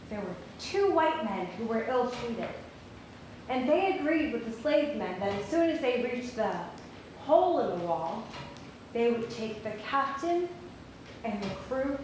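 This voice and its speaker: A woman's voice: high voice, pushed and bright, exaggerated voice. A woman giving a dramatic reading as a hopeful granddaughter telling her family's history. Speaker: stage reader. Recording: very echoey hall, faint noise.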